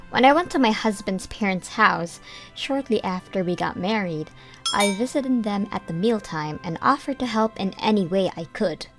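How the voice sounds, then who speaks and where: A young woman narrating a manga dub in first person, measured and earnest. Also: medium pitch. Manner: measured storytelling, plain and earnest.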